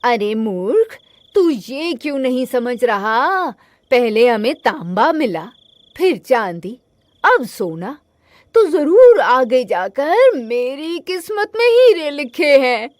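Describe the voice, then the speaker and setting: A woman's voice: high voice, very animated, slow pace, no room sound, heavily acted. Woman voicing a greedy, scornful village man. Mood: impatient, stubborn. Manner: insistent, dismissive. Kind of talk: cartoon story acting.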